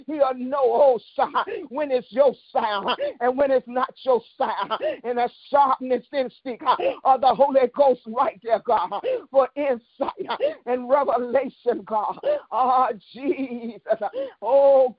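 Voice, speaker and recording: High-voiced fervent prayer over a phone line. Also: no echo. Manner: chanting, rhythmic, punctuates phrases with a sharp breathy "huh"; repeats "God" and "Dad" at phrase ends. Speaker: intercessor praying over a woman. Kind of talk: fervent intercessory prayer mixed with speaking in tongues. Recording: tinny phone line, dry.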